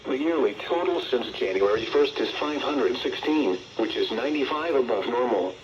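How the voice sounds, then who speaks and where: A synthetic American male announcer recites weather data through a radio speaker, with dull, lo-fi sound and clear noise over it. The delivery is formal and impersonal, at a medium pitch. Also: expressive, moderate pace. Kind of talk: scripted weather data bulletin.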